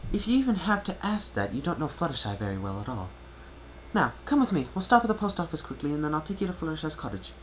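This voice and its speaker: Casting: male reader as refined female cartoon pony, fussy and genteel, voice pushed high, noisy home recording. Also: big pitch swings, quick pace. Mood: eager, helpful. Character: refined, ladylike female pony boutique owner.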